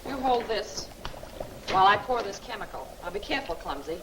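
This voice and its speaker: An actress playing a plucky, wry young assistant in a 1940s film serial. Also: female voice, medium pitch, lively intonation, fairly quick, lightly acted. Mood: busy. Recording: noisy old 1940s film soundtrack.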